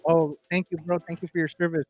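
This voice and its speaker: A man's voice: on the high side, little pitch movement, fast, loud music behind the voice. A man hosting a podcast in casual chat, loose and slangy, calling his guest "bro" often. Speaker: podcast host.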